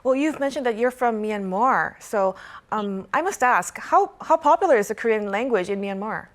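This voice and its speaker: A woman's voice: a little high, expressive, normal speed. A woman hosting a broadcast interview, politely prompting her guests with questions. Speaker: news anchor, interviewer.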